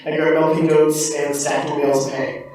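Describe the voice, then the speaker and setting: A man with a slightly raised voice tells rambling, animated stories as a panel author. He sounds far from the mic in a very echoey hall. A faint noise hangs underneath. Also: on the high side, a little fast. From Pacific Northwest, US. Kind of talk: anecdotal panel introduction. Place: large convention room.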